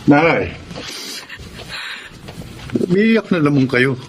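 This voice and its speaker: High-pitched blunt grown son. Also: male voice, big pitch swings, extremely slow, background noise, heavily acted. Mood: exasperated. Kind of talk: radio drama acting.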